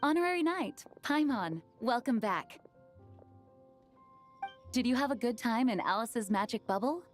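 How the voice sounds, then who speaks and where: A woman's voice: on the high side, lively intonation, unhurried. A woman playing a dutiful, considerate young knight commander in a video game, formal and earnest. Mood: welcoming. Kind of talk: video game character acting. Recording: music behind the voice.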